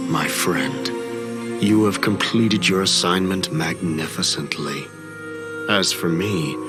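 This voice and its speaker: An actor playing a sentimental, weary male samurai mentor in a game, speaking in a slow, grave way. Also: sits low. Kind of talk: game cutscene voice acting. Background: loud music.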